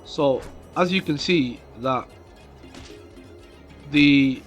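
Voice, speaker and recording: A man's voice: medium pitch, dull audio. A man with a cold and a nasal voice, casually commenting on gameplay for his channel, with fillers. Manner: slow, rambling, many fillers. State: has a cold coming on. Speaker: gaming YouTuber. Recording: game music behind voice. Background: game music.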